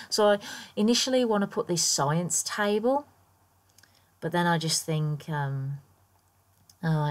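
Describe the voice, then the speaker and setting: A woman with a croaky morning voice, chatting through her game build in a rambling, casual voiceover full of ums and small laughs. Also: sits low. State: croaky, just woken up. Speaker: Sims builder YouTuber.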